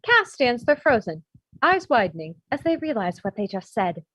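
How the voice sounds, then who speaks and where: A woman's voice: on the high side, sing-song, moderate pace, a bit bright, slightly rough, no room sound. A woman reading out a script's stage directions as scripted narration, on dull, lo-fi audio. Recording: dull, lo-fi video call sound.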